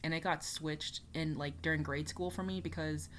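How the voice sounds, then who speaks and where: A woman's voice: low-pitched, fairly even intonation, normal speed, slight background noise. A young woman chatting casually as she reacts to a music video, rambling with lots of fillers. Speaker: reaction YouTuber.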